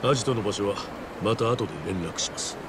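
An actor playing a calculating agent of a shadowy organisation in a video game cutscene, formal, composed and drily polite. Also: male voice, on the low side, quick pace, very noisy, close to an everyday voice. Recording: loud music under the voice.

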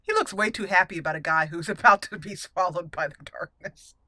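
A woman's voice: fairly low pitch, fairly quick, bright, forceful tone, no room sound. A woman hosting a gaming channel, giving casual, playful let's play commentary and talking to the characters. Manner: playful, talks to game characters.

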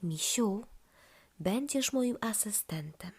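A woman voicing a gentle, reassuring mother in a children's story, speaking softly and soothingly. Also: medium pitch, animated, slow pace, slightly rough, heavily acted. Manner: soft, soothing.

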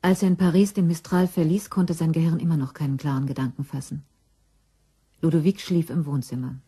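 Female narrator, crime audio drama. Lowish register, dark warm tone. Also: steady tone, brisk, slightly rough. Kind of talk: scripted crime radio play narration.

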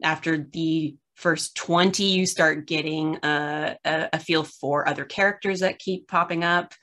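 A woman's voice: sits low, fairly even intonation, unhurried, pushed and bright. A middle-aged woman hosting a casual, unscripted chat over a video call, rambling with self-deprecating humour. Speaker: host. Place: room at home, video call.

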